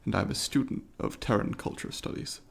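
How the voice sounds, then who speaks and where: A man's voice: a little fast, lightly acted. A man voicing a polite, eager alien student in an ASMR roleplay, speaking formally and precisely to the listener in an inquisitive, apologetic way. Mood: careful, reassuring.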